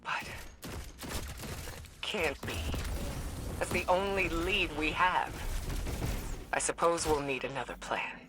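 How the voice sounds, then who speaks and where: A woman voicing a composed, thoughtful mystic mentor in a video game, speaking in a measured way. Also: sits low, noisy background. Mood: troubled. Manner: measured, composed, self-searching. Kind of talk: video game cutscene voice acting. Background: orchestral game score.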